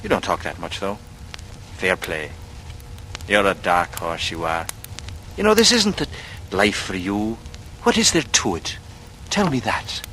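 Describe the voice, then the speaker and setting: A man's voice: sits low, animated, a little slow, pushed and bright, heavily acted. A man playing a glib, brooding young drifter with a murderous streak, in theatrical, dreamy 1940s radio thriller style. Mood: confiding, low. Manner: theatrical speechifying, rambling and dreamy. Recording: noisy vintage radio drama recording.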